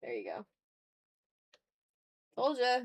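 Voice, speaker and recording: A woman's voice: on the high side, huge pitch swings, takes it slowly, dry recording. A woman chatting casually on an art livestream while she draws, informal and rambling, with some swearing and self-deprecation. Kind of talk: casual livestream chat while drawing, reading out viewer comments.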